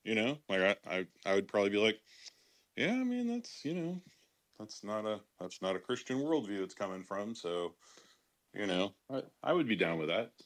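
A man's voice: lowish voice, very animated, moderate pace. A man with a Chicago accent, talking bluntly and dismissively in a casual podcast discussion. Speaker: show regular.

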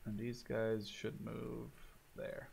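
A man in his twenties giving casual, excitable let's play commentary, thinking out loud as he goes. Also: on the low side, takes it slowly, gravelly, slight background noise. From Canada or the Netherlands. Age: 20s. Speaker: gaming video host.

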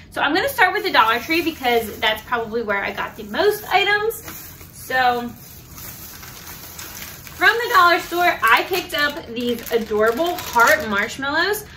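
A woman's voice: high-pitched, pushed and bright. A woman in her thirties at home, chatting upbeat about the things she bought. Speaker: vlog host. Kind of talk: casual haul presentation. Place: living room at home. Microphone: camera at a distance. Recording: room sound from a distance, faint hiss.

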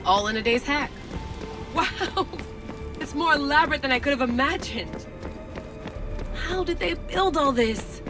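Self-assured young explorer, music behind. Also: female voice, sits high, expressive, a little slow, pauses often. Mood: wry, then awed. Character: bold, self-assured young female tech-savvy assassin explorer. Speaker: video game character. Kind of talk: video game cutscene acting.

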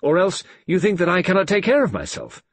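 A precise, sly elderly detective with a lively, lifting voice, played by a man reading aloud. His breathy, husky edge sits close to the mic. Every word is weighed and formal. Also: high voice, a little fast, no room sound, voice pushed up, exaggerated voice. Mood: earnest. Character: elderly frail fussy male detective.

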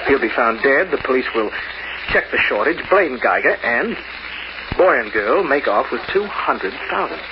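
An actor playing a cool, scheming young crook in 1940s radio noir style, clipped and tough. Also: male voice, mid-range voice, animated, moderate pace, close to an everyday voice. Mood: sly, cool. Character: scheming, cool, hard-boiled young male lover and crook. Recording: muffled, noisy old radio recording.

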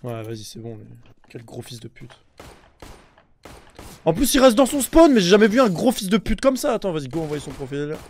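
A young man streaming a shooter game on a headset mic, chatting excitably with his viewers and swearing often. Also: high-pitched, brisk, slight background noise. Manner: excitable, swears a lot, talks to chat. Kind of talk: live gaming stream commentary. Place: indoor room with a gaming chair.

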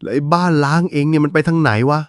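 A man voicing a greedy, easily frightened ghost hunter in a cartoon, who holds the camera and complains loudly. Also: on the high side, fairly even intonation, rapid-fire, no echo, studio quality, close to an everyday voice. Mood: griping. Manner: cowardly, loud, complaining. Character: jumpy, greedy elder ghost-hunting cameraman. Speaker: cartoon voice actor.

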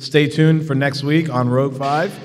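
A man's voice: mid-range voice, fairly even intonation, moderate pace. A man co-hosting a podcast in casual banter. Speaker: podcast co-host. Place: large convention hall. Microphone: boom-arm podcast microphone. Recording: echoey hall.

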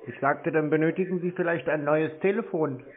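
A man's voice: fairly high pitch, brisk. A man chatting casually on a phone call in a laconic, dry, needling way. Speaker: scam-baiter taking calls. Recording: muffled narrow phone-call sound.

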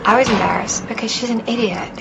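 A woman's voice: on the high side, quick pace, gravelly, over music, low-quality recording. An actress playing a possessed twelve-year-old girl in a radio drama, eerie, dreamy and knowingly taunting.

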